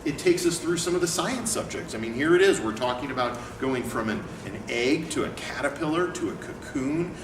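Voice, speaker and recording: A man's voice: medium pitch, animated, brisk, few pauses. Man in an echoey, noisy room. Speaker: educator and book company owner reading aloud. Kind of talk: picture book read-aloud and informal teaching talk. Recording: echoey room, distant mic, clear background noise.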